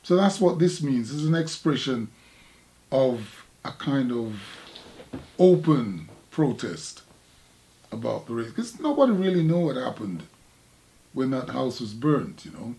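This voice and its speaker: An older man from Jamaica with a Jamaican accent, an artist recalling his past in a halting, reflective conversation. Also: medium pitch, very animated, dark tone. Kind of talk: casual recollection in conversation.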